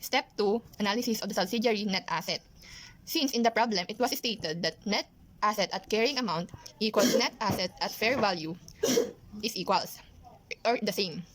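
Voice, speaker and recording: A woman's voice: medium pitch, normal speed, slight background noise, rough recording. A woman presenting an accounting lesson as scripted narration, methodically reciting in short phrases.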